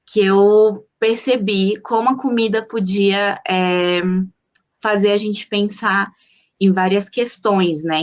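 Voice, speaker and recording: A woman from the Amazon, giving an informal, personal lecture and reflectively pausing to find her words. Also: mid-range voice. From Colombia, grew up in Manaus, Brazilian Amazon. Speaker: guest lecturer, anthropologist.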